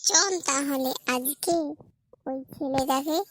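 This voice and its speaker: A woman's voice: high-pitched, slow, fairly bright tone. A woman voicing a cheeky, scheming young sister in a cartoon skit, with a taunting, theatrical delivery.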